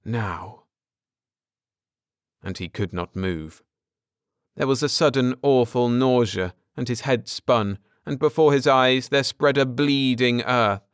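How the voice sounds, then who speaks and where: A man narrating a science fiction story as an audiobook, with theatrical, deliberate phrasing and dramatic emphasis. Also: medium pitch, clean tone, no room sound, studio quality.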